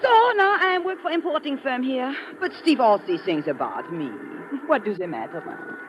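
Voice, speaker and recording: High-voiced, theatrical European femme fatale. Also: female voice, very animated, normal speed, noisy background. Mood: intimate, coaxing. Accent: foreign European. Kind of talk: 1950s radio drama acting. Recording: muffled vintage radio recording with crowd.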